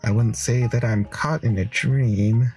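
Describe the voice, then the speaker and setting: A man's voice: medium pitch, steady tone, unhurried, a little mellow, faint music behind, natural voice. A man reading an earnest, dreamy wandering hero. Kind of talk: visual novel narration and dialogue.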